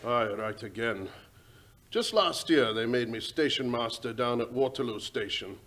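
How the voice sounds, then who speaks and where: A man's voice: mid-range voice, animated, a little slow, fairly bright tone. A man playing a deferential, worried working-class English railway station master in an old-time radio drama, speaking hesitantly and respectfully in a folksy way.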